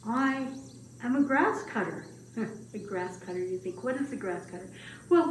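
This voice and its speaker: A woman puts on a humble old man telling his story in a puppet show. The voice is rather high and very animated, halting and easily flustered. It sounds roomy and set back from the mic. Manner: hesitant, halting, with many pauses. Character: gentle old male grass cutter, humble and easily flustered. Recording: roomy, distant mic, faint noise.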